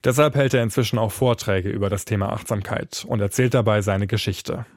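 A man's voice: on the low side, brisk, dry recording. A man narrating a scripted podcast as its host and reporter, speaking into a close microphone.

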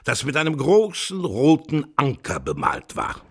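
A man narrating a children's radio play, telling the story deliberately in short, measured phrases. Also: fairly high pitch, wildly swooping pitch, a bit bright, husky.

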